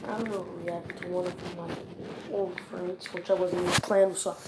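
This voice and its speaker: A woman's voice: fairly low pitch, little pitch movement, normal speed. Offhand female voice on a noisy recording. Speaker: video creator reacting to videos. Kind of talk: casual unscripted reaction commentary. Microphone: phone or device mic during screen recording. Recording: noisy home screen-recording audio with clicks.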